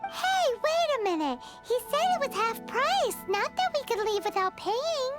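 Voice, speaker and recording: A woman voicing a tiny, cute and bossy fairy companion with a squeaky childlike voice in a video game. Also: big pitch swings, a little slow, loud music behind the voice, heavily acted. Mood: scolding. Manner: refers to self in third person, outspoken.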